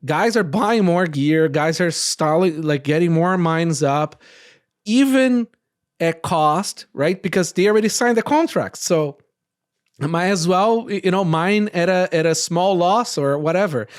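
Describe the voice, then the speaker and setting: A man from Brazil hosting a casual roundtable podcast, rambling and often tagging his points with quick questions. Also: high-pitched, expressive, smooth. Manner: rambling, frequent tag questions. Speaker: podcast host.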